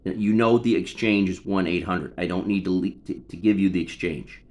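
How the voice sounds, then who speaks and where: A man's voice: low-pitched, steady tone, moderate pace, a little mellow. A middle-aged man in a personal phone conversation, insistent and argumentative. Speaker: son on a phone call with his mother.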